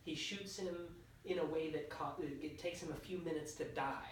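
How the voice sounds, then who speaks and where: A man's voice: a little high, moderate pace, over a noisy crowd. A man working as a comedy writer, casually talking through story ideas in a writing session. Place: indoor room at a writing session. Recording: roomy, distant mic, background noise.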